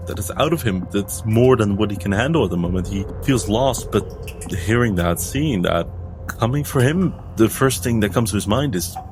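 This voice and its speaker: A man playing a tabletop game, dramatically narrating his character's feelings and actions in halting, repeated phrases. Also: lowish voice, rough recording.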